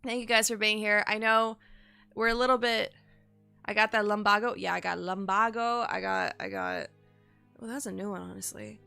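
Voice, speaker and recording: A woman's voice: mid-range voice, lively intonation, normal speed, creaky, sharp tone, clean tone, soft background music. A woman streamer chatting casually with her audience while sick, nasal and sniffly, rambling and swearing. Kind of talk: casual live-stream chat.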